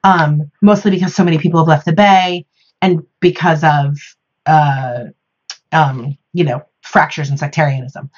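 An American woman in a reflective podcast discussion, speaking thoughtfully and haltingly with pauses between phrases. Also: sits low, steady tone, darkish tone, slightly rough, lo-fi sound.

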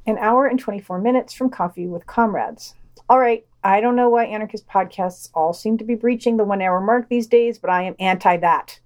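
Female podcast host, soft dark timbre, scripted read with wry, opinionated, casual asides. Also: medium pitch. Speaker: podcast host reading news reviews.

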